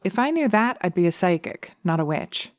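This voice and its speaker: A woman's voice: lowish voice, sing-song, normal speed. A woman voicing a brash, sarcastic witch in an audio roleplay, blunt and teasing in an exasperated way. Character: brash, sarcastic young witch fed up with men.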